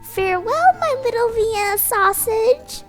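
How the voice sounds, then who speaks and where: A woman's voice: extremely high pitch, expressive, a little slow, fairly bright tone, soft background music, voice pushed up, heavily acted. A woman voicing a doting mother dog who coos fondly at her son. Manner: cooing, affectionate.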